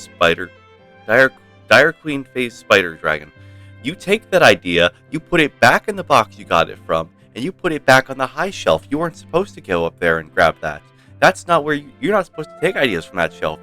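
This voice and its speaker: A man streaming a game with casual, playful, rambling commentary for his chat. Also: medium pitch. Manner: rambling, playful, mock-stern. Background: soft game music.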